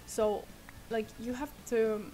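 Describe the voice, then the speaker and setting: A woman's voice: a little high, flat delivery, slow. Young Swedish woman with a sore throat. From Sweden. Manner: rambling, soft, laughs often. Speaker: streamer. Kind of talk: casual chat while gaming. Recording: loud noise behind the voice.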